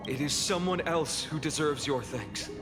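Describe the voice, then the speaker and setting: A man's voice: fairly high pitch, a little slow, lightly acted. A man voicing an earnest young warrior hero in a video game, solemn, formal and burdened with duty. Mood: serious. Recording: game music behind the voice.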